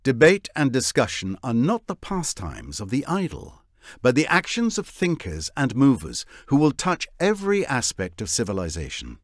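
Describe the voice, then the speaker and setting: A man delivering scripted cinematic narration for a game, with a wry, deliberate storyteller's manner. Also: mid-range voice, huge pitch swings, no echo, studio quality. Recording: voice over prominent music score.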